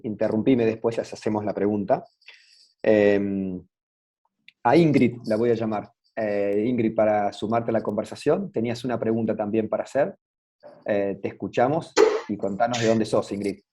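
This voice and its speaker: A man's voice: fairly low pitch, mellow. A man with a Rioplatense Spanish accent moderating an online business webinar over a video call, inviting guests to speak.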